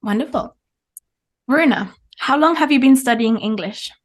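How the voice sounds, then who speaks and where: Young woman English teacher playing examiner, upbeat, clear, encouraging, somewhat high voice. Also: lively intonation, moderate pace. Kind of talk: mock speaking exam, teacherly.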